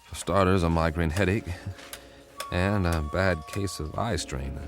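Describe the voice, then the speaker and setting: Gravelly, low-voiced weary codebreaker. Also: male voice, fairly even intonation, measured pace, music in the background. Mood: weary, wry. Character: weary, driven male government codebreaker, frank and wry. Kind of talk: radio drama acting.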